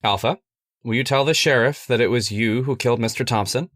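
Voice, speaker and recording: A man's voice: medium pitch, brisk, fairly bright tone, natural voice. A man playing a persuasive, controlling scientist's assistant in a radio horror play, earnest and articulate. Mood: commanding. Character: calm, stubborn, persuasive scientist's assistant devoted to the experiment. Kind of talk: old-time radio horror acting.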